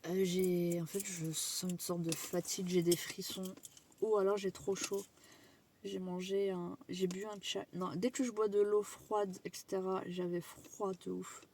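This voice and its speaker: A young woman chats to her camera in a loose, rambling vlog style and swears now and then. She sounds sick and worn out. Her voice sits low and carries a gravelly fry. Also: flat delivery, measured pace, darkish tone, slight background noise. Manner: chatty, rambling, casual swearing. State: sick and tired. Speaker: vlogger. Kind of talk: casual vlog chat to camera.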